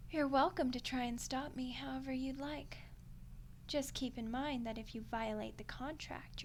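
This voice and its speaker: A woman voicing an ancient female archdemon in a roleplay ASMR monologue, playful, condescending and coolly menacing, in an unhurried delivery with whispery touches. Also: high voice, slight background noise. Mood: calmly threatening.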